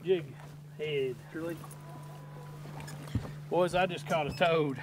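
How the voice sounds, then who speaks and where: A middle-aged man fishing from a small boat on a lake, talking to his viewers in a folksy, casual way and getting excited when he lands a fish. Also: a little high, slow. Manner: folksy, excited bursts while landing fish. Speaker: fishing vlogger. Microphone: wide-angle camera mounted at the boat's stern. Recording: outdoor boat recording with wind and water noise.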